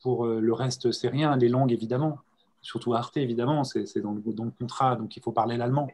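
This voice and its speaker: A middle-aged man on an online panel, talking in a relaxed, rambling way and repeating himself. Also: mid-range voice, a little fast. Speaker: panelist, broadcaster staff. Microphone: video call.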